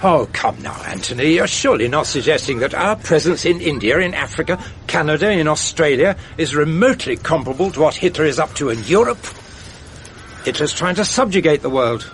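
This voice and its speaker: An actor playing a cultured British gentleman who patiently reasons with a boy in a radio drama. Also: a little high, lively intonation, fairly quick, slight background noise. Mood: calm, persuasive. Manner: patient, genteel and persuasive.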